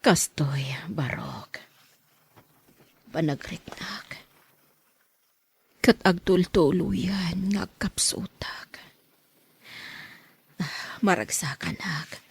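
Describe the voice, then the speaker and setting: A woman acting a frail, sick mother in a radio drama, with a weak rasping whisper and an Ilocano accent. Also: lowish voice, animated, takes it slowly, pauses often, exaggerated voice. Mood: weak, pleading. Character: frail ailing mother pleading for her daughter.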